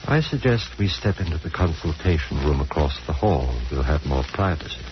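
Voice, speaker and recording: Deep-voiced composed doctor, old radio. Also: male voice, expressive. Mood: calm, reassuring. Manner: measured, authoritative, turning cutting. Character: composed eye doctor, bitter spurned former lover. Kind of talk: 1940s radio acting. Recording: muffled, noisy vintage radio recording.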